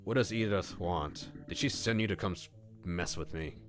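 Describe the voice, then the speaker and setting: A man's voice: low-pitched, moderate pace, lightly acted. A man voicing a grumpy, suspicious wolfman monster in a visual novel, irritable and prone to ranting. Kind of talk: visual novel character reading. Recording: music under the voice.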